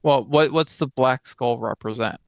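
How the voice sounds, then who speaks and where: A man's voice: mid-range voice, normal speed, vocal fry, no room sound. A man co-hosting a casual chat and brainstorming tabletop fantasy lore, on a dull, lo-fi close mic. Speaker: podcast co-host.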